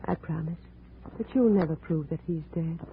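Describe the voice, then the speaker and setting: An actress playing a brooding, anguished young wife in a 1950s radio drama, speaking in a formal, halting way. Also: female voice, low voice. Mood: wistful, insistent. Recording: muffled, noisy 1950s radio recording.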